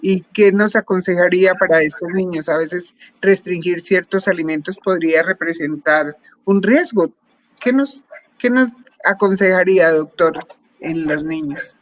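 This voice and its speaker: A woman's voice: fairly low pitch, measured pace, dry recording, rough recording. A woman moderating an online medical session, asking questions politely and formally.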